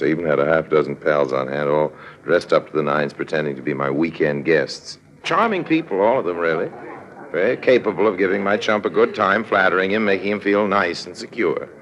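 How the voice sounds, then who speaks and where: Sly suave con artist, low voice, smug, smooth, casual, 1950s radio acting. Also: male voice. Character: suave, sly male con artist narrating his swindle.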